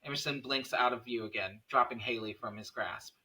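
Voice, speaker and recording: Male narrator for a script table read, stage directions in a bright, forceful mid-range voice. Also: mid-range voice, a little fast, poor audio.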